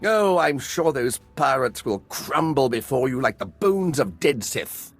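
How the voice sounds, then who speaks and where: An actor playing an eager, nervous military artifact scholar in a video game, excitable and polite, with a slightly husky voice. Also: male voice, mid-range voice, unhurried. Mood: chatty, wry.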